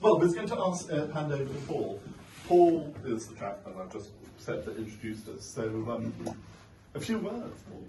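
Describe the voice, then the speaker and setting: A man's voice: a little high, big pitch swings, normal speed, warm tone. Teasing man hosting in an echoey gallery. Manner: teasing, jokey. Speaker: publisher hosting the launch. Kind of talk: informal speech to a crowd. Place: art gallery, indoors. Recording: echoey gallery, distant, crowd noise.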